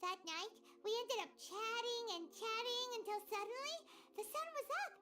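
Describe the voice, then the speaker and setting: A woman voicing a small, excitable girl companion in a video game, chattering in bouncy, rapid bursts. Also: exaggerated voice. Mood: excited. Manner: bouncy, rapid, swooping high exclamations.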